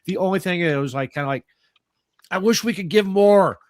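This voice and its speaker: A man's voice: high voice, a little fast, no room sound, studio quality. A man chatting casually in a group, explaining things in an informal, meandering way.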